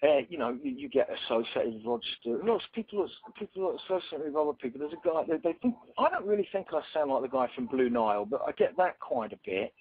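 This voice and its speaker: A man with a raspy, croaky voice answers interview questions in a loose, rambling way, full of fillers. He sounds husky and close to the mic. The sound is dry and tinny, like a phone line. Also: fairly high pitch, fast, hardly any pauses, dry recording. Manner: relaxed, rambling, many fillers. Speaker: singer-songwriter guest.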